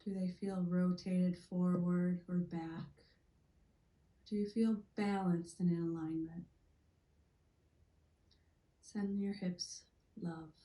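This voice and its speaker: A woman leading a gentle guided meditation in a soothing, deliberate manner, leaving long pauses. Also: lowish voice, level tone. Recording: roomy, echoey sound.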